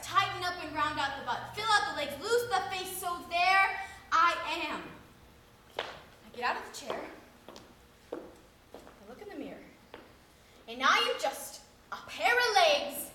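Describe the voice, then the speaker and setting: A flustered young woman rambles, halting and laughing at her own story, as a woman acts her out in a comic stage monologue. Her voice sits very high and bright. The sound bounces around a very echoey room. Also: expressive, a little hiss or hum, heavily acted. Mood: flustered rambling.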